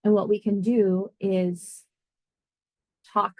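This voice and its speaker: A woman's voice: mid-range voice, level tone, slow, darkish tone, dry recording. A woman being interviewed as an air traffic controller, explaining her job conversationally and thinking aloud as she goes. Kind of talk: informal career interview answers.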